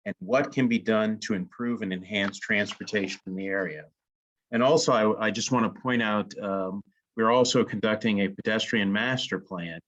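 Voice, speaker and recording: A man with a slightly low voice gives a presentation to an online meeting. He follows prepared points but hesitates often, pausing between phrases. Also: fairly bright tone, highs cut off. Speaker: co-host, presenter. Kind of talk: semi-scripted slide presentation.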